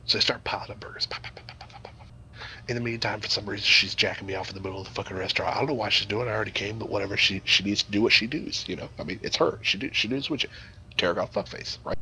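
A man's voice: fairly low pitch, steady tone, very fast, bright, forceful tone, whispered, low-quality recording. A man improvising a crude comic story in a rambling, profane, theatrical way.

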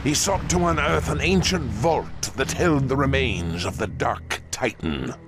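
A man's voice: mid-range voice, animated, over music, natural voice. A man voicing a weary, haunted old orc warlock, telling his tale solemnly in a fantasy game. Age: older. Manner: solemn, slow storytelling. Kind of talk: dramatic fantasy game acting.

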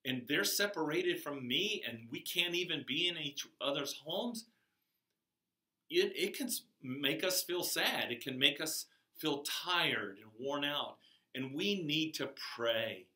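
An older man giving a chapel sermon to children in a classroom, speaking slowly and emphatically, his voice rising on key words. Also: fairly high pitch, lively intonation, pushed and bright. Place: classroom with a whiteboard, indoors. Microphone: camera across the room. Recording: echoey classroom from far off.

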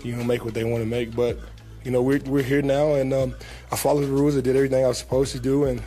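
A young man, a pro football player, answering reporters at a press conference in a relaxed, casual way, with many fillers and repeated words. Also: medium pitch, fast talker, soft background music. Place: team press room, indoors. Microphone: table mic in front.

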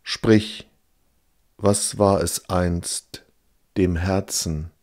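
A man's voice: low voice, fairly even intonation, very slow, a little mellow. A man reciting a poem as a reader. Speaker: poetry reader. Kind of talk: slow poetry recitation.

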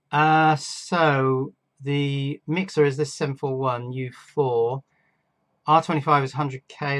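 A man's voice: medium pitch, no echo. A middle-aged man giving casual, matter-of-fact hands-on narration as an electronics hobbyist, musing aloud as he goes. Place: indoor home workbench.

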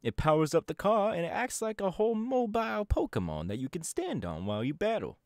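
A man's voice: high-pitched, sing-song, brisk, dry recording, clean studio sound. A man playing a cocky young DJ gang boss. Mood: boastful. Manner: boastful, swaggering, talks to the listener. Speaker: Team Star leader in a roleplay. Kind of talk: audio roleplay.

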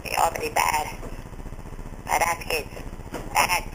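A man acts out a fussy foreign parent who owns a little shop. The voice sits high and speaks haltingly, repeating complaints with stubborn insistence. It comes over a clearly noisy prank-call recording. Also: monotone. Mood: fussy, disapproving. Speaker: prank caller.

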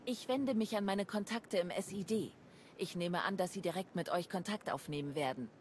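Woman playing serious lady adviser in a game, measured n grave, lowish voice. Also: darkish tone, a little hiss or hum, lightly acted. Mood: grave, composed. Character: composed, serious woman adviser, loyal yet independent. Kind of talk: video game cutscene acting.

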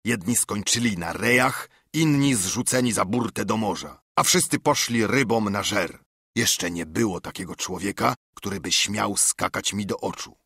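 A man's voice: fairly low pitch, moderate pace, gravelly, a bit bright, slightly rough, no room sound, studio quality, full character voice. Man acting out a roaring, swaggering pirate captain. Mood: menacing. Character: commanding pirate captain, menacing, scornful older ship's cook. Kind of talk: audiobook character acting.

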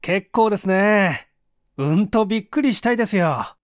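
Earnest young male engineer for audiobook, acted by a male reader: brisk, direct, raised pitch, dry sound. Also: expressive, no room sound. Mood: keen. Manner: polite, businesslike, direct.

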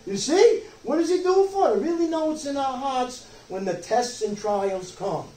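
A man's voice: very high voice, expressive, moderate pace, dark tone. A man in his sixties, a pastor, preaching a sermon emphatically from a church pulpit with frequent rhetorical check-ins. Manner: emphatic, rhetorical questions, check-ins like "okay?" and "you see?". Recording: roomy distant mic, some background noise.

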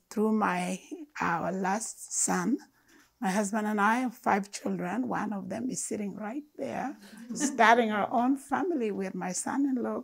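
Older woman speaking slowly and earnestly. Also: a little high, gravelly. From Uganda. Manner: slow, earnest and emphatic. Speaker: storyteller speaker. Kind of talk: storytelling talk to an audience. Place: living room with stone fireplace, indoors. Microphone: lapel mic.

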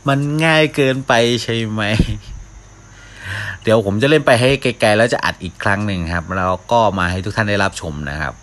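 Deep-voiced man doing casual game commentary. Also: lively intonation, brisk, fairly bright tone. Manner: mouths sound effects, talks to himself while playing. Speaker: gaming video host. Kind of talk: casual live gameplay commentary.

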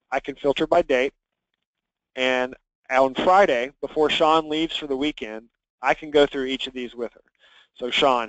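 A man leading a software training conference call, talking conversationally and instructively as he walks listeners through things. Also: medium pitch, dry recording, lo-fi sound. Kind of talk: conversational screen-share walkthrough. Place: conference call with screen share.

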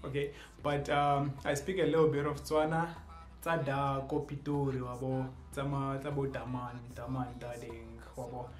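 A man's voice: mid-range voice, little pitch movement, moderate pace, echoey room, soft background music. A young man with a South African accent, casually introducing himself on his video channel with playful asides. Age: young adult. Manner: playful, joking asides, switches into other languages. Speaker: YouTuber. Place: small indoor room with shelves. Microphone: camera at close range.

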